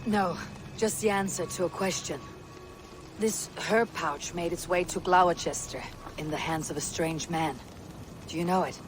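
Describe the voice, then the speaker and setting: A woman's voice: fairly low pitch, a little slow, music in the background, close to an everyday voice, poor audio. An actress playing a stern, proud Viking warrior woman, terse, commanding and formal, in a video game cutscene. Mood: composed.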